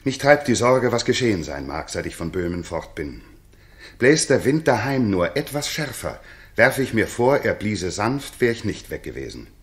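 A courtly, eloquent king, a courteous guest and friend, in a 1960s German radio play. His lowish voice moves with lively melody through formal verse. The room rings around him. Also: moderate pace. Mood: earnest, firm. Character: courteous visiting king of Bohemia, warm old friend. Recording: roomy 1960s radio studio.

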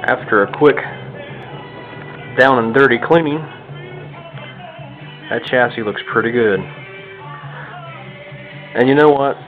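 A man's voice: mid-range voice, slow, long pauses, soft background music. An American man giving a casual, unscripted hands-on walkthrough in a folksy, self-deprecating manner. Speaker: hobbyist radio restorer presenting own video. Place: home kitchen or dining room, indoors. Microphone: handheld camera's built-in microphone. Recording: tinny band-limited phone-like camera sound.

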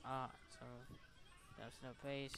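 A man hosting a gaming video, reading game text aloud and commenting in short, halting reactions. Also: medium pitch, flat delivery, creaky, fairly bright tone.

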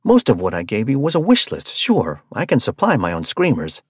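Storybook: hot-headed woman sellsword, voiced by a man, brash and yelling, wildly swinging pitch. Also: sing-song, brisk, no room sound, studio quality. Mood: furious. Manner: yelling insults, brash and loud. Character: brash foul-mouthed woman mercenary, hot-tempered.

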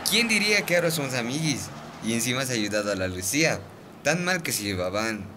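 A man's voice: mid-range voice, big pitch swings, a little fast, background noise, close to an everyday voice. A man with a Bolivian accent playing a friendly, encouraging teenage boy in a radio drama. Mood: upbeat, encouraging.

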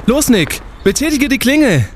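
A man's voice: extremely high pitch, big pitch swings, normal speed, noisy background. A man playing a brash, indignant young student detective in an audio drama, declaiming pompously. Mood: indignant, determined.

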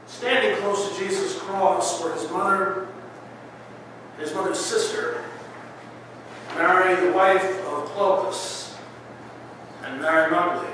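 Man, solemn preacher, deliberate dramatic pauses, long church reverb, distant microphone. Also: sits high. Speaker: pastor. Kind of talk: Good Friday sermon with scripture reading. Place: small church, indoors, before seated congregation. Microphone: distant camera microphone. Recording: echoey church hall from far off, faint noise.

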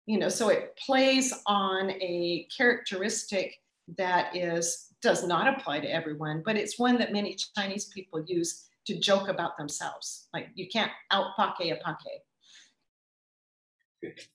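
A middle-aged woman answering audience questions after her talk, thoughtful and pausing as she restarts her sentences. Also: on the low side, echoey room, low-quality recording. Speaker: guest speaker.

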